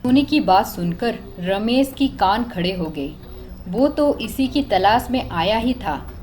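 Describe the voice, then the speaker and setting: A woman's voice: fairly high pitch, background noise. A woman narrating a scripted horror story as a storyteller.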